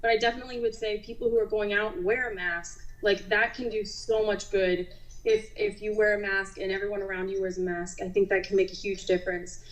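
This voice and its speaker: A woman's voice: medium pitch, fairly even intonation, fairly quick, poor audio. A young adult woman, a nurse being interviewed, talks in a casual, reflective and conversational way. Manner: conversational, frequent use of "like".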